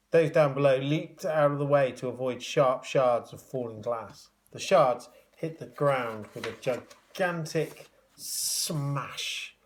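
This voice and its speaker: Man reading a children's story expressively. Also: medium pitch. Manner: expressive, dramatic emphasis. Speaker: primary school teacher reading aloud. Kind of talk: animated children's storybook narration.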